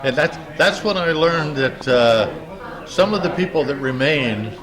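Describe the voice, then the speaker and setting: An older man answering interview questions in a casual, halting way as he searches his memory. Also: a little high, animated. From upstate New York, United States. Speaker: interviewee, retired plant's former engineer. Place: indoor social gathering. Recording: busy room with crowd chatter.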